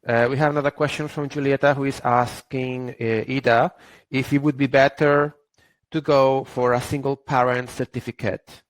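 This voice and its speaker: A man's voice: mid-range voice, little pitch movement, normal speed, no room sound, poor audio. A man moderating a webinar, politely reading out audience questions with fillers.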